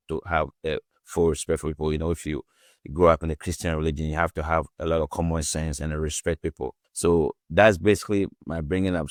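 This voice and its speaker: A man from Ghana, a guest author on a remote call, telling his story in a relaxed, rambling way and often saying "you know". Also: deep voice, no room sound, studio quality. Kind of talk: casual interview.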